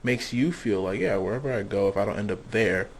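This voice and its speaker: Low-voiced young man asking questions. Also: fairly quick, slight background noise. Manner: rambling questions, many fillers. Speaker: interviewer. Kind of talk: casual interview.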